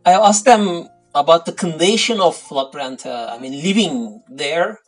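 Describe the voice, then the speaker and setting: A man's voice: on the high side, lively intonation, measured pace, faint music behind, rough recording. Hesitant Turkish-accented man. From Turkey. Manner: hesitant, many fillers and restarts. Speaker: interviewee. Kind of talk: casual interview answers.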